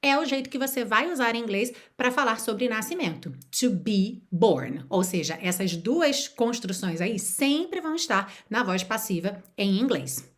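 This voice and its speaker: A woman from Rio de Janeiro, teaching an online video lesson and talking straight to the viewer. She slows right down to model example phrases and repeat them. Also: a little high, lively intonation. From Rio de Janeiro, Brazil. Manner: encouraging, speaks straight to the viewer. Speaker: language teacher.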